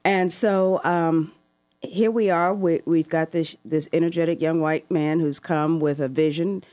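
A woman's voice: low-pitched, steady tone, measured pace, dry recording. Wordy, thoughtful woman radio host. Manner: thoughtful, wordy questions with soft laughs. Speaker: radio talk show host. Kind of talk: call-in radio interview. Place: radio studio.

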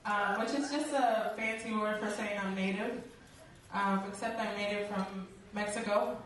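A woman's voice: medium pitch, fairly even intonation, moderate pace, very noisy. A woman performing a stand-up set, wry and joking, laughing through her punchlines. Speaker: stand-up comedian. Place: comedy venue with a live audience. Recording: echoey club recording from far off, loud crowd.

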